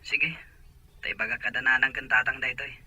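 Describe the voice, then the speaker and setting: A man's voice: medium pitch, level tone, moderate pace, bright, forceful tone, slightly rough, no echo, dull audio. A man with an Ilocano accent acting as a worried adult son in an audio drama. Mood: concerned, questioning. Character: adult son anxious about the family's pawned farmland.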